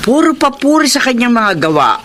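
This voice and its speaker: A woman's voice: high-pitched, sing-song, a little fast. A woman playing a pleased, approving older mother-in-law in a radio drama. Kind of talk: Filipino radio drama acting.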